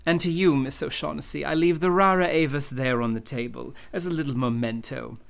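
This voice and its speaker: An actor playing a genteel, scheming, unflappable villain in a live old-time radio drama, speaking in pompous, florid, courtly phrases. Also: male voice, mid-range voice, brisk, gravelly, lightly acted. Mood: grandly jovial.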